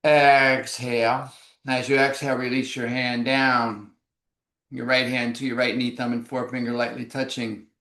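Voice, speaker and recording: Man, yoga instructor, guided breathing, slow calm cues, medium pitch, roomy echo.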